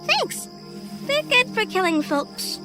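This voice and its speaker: A man's voice: very high voice, big pitch swings, slow pace, over music, pitched above the performer's own voice, full character voice. A man voicing a sweet little girl in a devil costume who sounds eerily cheerful. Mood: sweetly eerie. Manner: tiny, sweet, childlike voice.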